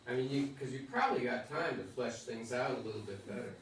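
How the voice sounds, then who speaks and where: A man's voice: mid-range voice, animated, fast, a bit bright, a little hiss or hum. A man asking inquisitive questions in a casual group discussion. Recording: very echoey and distant.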